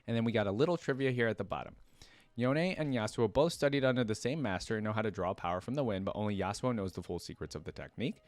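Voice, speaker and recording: A man hosting a reaction video, reading lore aloud and breaking in with informal, off-the-cuff commentary as he thinks out loud. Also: on the low side, quick pace, dry recording. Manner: informal, thinks aloud between read passages.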